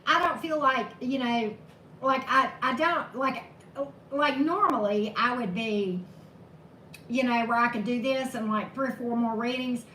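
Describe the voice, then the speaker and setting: A woman tarot reader, slightly hoarse, chatting casually with live-stream viewers and greeting them by name. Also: a little high, unhurried. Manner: chatty, greets viewers by name, laughs at own corny jokes. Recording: echoey room with steady background noise.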